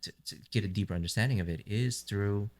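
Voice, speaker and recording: A man with a very low voice hosts a podcast. He asks his questions in a relaxed, casual way, often using "um" and "like". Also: fast. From United States. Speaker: podcast host. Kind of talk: casual interview chat.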